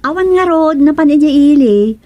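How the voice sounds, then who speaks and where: A woman's voice: high-pitched, expressive, moderate pace, dull audio. An actress with an Ilocano accent playing a gossipy village woman who teases and chats with her neighbors. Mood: chatty. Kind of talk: radio drama acting.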